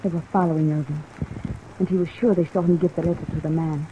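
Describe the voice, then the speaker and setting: A frightened, guarded woman played by an actress in old radio drama style. Her voice is low for a woman's and anxious. The sound is thin and tinny, with loud rain noise over it. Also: fairly even intonation, fast, close to an everyday voice. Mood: fearful confession. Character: frightened, trapped young woman confiding secrets. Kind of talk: 1950s radio drama acting.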